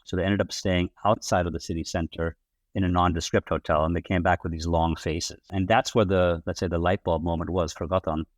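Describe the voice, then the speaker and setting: Low-voiced Dutch man, relaxed chatter. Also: brisk, dry recording. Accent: Dutch with American-tinged English. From Netherlands. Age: 40s. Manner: relaxed and chatty, many fillers and restarts. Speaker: podcast guest, hotel brand executive. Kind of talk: casual interview storytelling.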